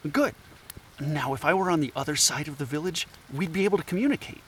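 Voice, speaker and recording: A man's voice: a little high, fast talker, fairly bright tone, slight background noise, natural voice. A man playing an earnest, nervous anthropologist in an audio drama, with a hesitant, stammering way of talking. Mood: curious, hesitant. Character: earnest, nervous male anthropologist, apologetic outsider.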